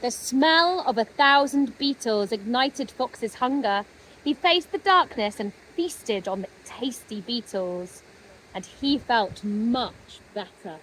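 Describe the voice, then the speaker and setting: A woman narrating a children's story expressively, with gentle dramatic pauses. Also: fairly high pitch, lively intonation, slight background noise, rough recording. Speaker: storybook reader.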